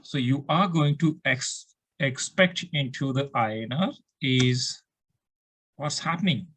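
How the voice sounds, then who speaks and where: A man's voice: medium pitch, takes it slowly, a bit bright, breathy edge. A man with an Indian accent giving a step-by-step tutorial as an accounting instructor, asking questions and then answering them, often repeating himself.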